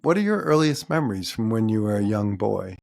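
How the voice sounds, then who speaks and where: A man's voice: fairly low pitch, big pitch swings, brisk, creaky, darkish tone. A man, hosting a podcast and asking questions in a conversational style. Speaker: podcast host, interviewer. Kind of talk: conversational interviewing.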